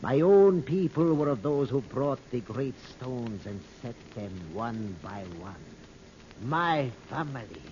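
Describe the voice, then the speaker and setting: A muffled, noisy old radio recording of a proud guide, mellow and grave. He speaks formally, like a loyal servant of a princess in an adventure serial. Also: mid-range voice, animated, slow pace. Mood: proud, solemn. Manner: formal, grave, declamatory phrasing.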